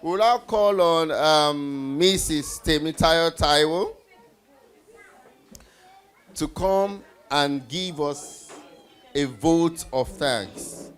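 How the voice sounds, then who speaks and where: A man hosting a live event, making announcements to an audience in a deliberate, hesitant way. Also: fairly high pitch, faint music behind. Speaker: event host.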